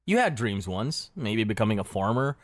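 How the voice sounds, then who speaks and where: A man with a fairly low voice narrates a scripted history story addressed to the listener. His delivery is darkly wry and dramatic, and the sound is dry and close. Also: big pitch swings, normal speed, no room sound. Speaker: history explainer video narrator. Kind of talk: scripted second-person narration.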